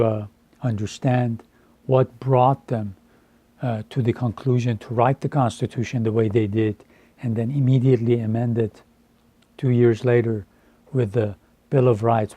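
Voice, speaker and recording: A man in his fifties from Iran, a Farsi speaker, speaking deliberately and earnestly to camera with frequent hesitations. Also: mid-range voice, dark tone, slightly rough. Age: 50s. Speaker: translator. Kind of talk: earnest personal address to camera.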